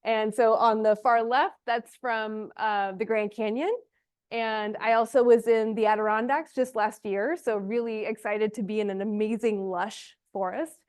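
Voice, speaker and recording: A woman's voice: fairly high pitch, steady tone, measured pace, darkish tone, clear, resonant tone. A young woman from Honduras and Texas giving an informal, explanatory conference presentation. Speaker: speaker, ecological restoration student and forest project lead.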